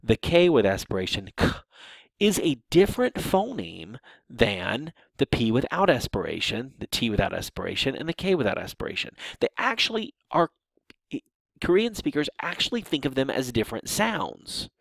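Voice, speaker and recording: A man's voice: medium pitch, sing-song, normal speed, vocal fry, no echo. A man teaching a linguistics course in a recorded lecture over slides, explaining patiently with frequent restarts and fillers. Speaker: linguistics instructor.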